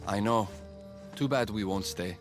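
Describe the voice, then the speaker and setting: Gentle, wistful young man, voiced by an actor. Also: on the low side, a little slow, over music, lightly acted. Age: young adult. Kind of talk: cinematic game dialogue.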